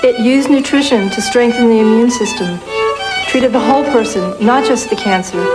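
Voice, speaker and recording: A woman's voice: on the high side, normal speed, rough recording. A woman giving a scripted first-person testimonial for an advertisement, with strings playing behind her. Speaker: testimonial speaker. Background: loud violin and string music.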